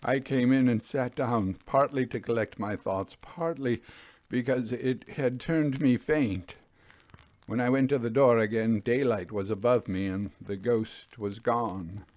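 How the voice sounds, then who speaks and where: A man voicing a haunted railway signalman who recounts a spectre in a grave, halting way, as in a ghost story. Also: mid-range voice, a little mellow, no room sound, close to an everyday voice. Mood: hushed, uneasy. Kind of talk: dramatic reading of a classic ghost story.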